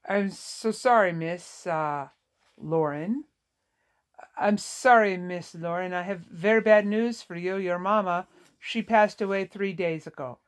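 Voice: medium pitch, normal speed, natural voice. A woman voicing a short, weary Vietnamese nurse in an audiobook, sorrowful, apologetic and plain-spoken, with a Vietnamese accent. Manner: folksy, apologetic, emphatic. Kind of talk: audiobook character dialogue.

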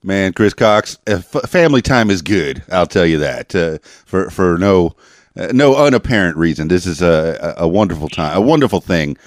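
Deep, lively-voiced podcast host. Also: male voice, normal speed, no echo, clean studio sound. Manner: laid-back, joking, many fillers. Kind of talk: casual interview.

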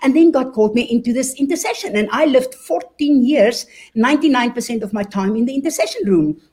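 A woman's voice: a little high, lively intonation, a little fast, darkish tone, breathy edge. A woman with a South African accent, an evangelist sharing her story earnestly in an interview. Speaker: evangelist guest. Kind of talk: interview testimony.

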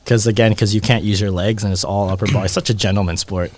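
A man's voice: on the low side, steady tone, fast talker, darkish tone, no echo. A man with a Canadian accent chatting casually as a podcast guest, slangy and peppering his talk with "like" and "man". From born in the Philippines, raised in Calgary, Canada. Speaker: podcast guest, boxing instructor. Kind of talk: casual chat.

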